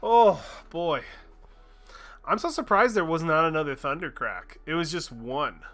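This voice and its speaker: A game streamer talking loosely through a late-night session, with a bright, forward voice. Their pitch jumps around a lot, and they curse often and laugh at their own slips. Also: lively intonation, measured pace. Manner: chatty, swears freely, laughs at themself. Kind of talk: casual gaming livestream chat.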